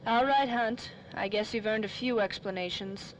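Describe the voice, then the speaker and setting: An actress playing a plucky, slangy nightclub dame in the style of old-time radio drama. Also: female voice, fairly high pitch, normal speed, slight background noise, natural voice, low-quality recording. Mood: earnest.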